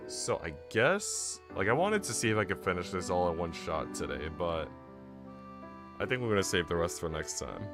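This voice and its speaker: A man streaming, reading a visual novel aloud and chatting casually. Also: low voice. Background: music.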